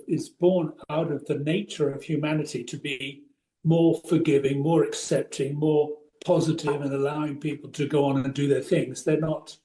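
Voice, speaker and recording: A man's voice: a little high, dark tone. A man offering reflective remarks in a discussion, recorded in a roomy, echoing space.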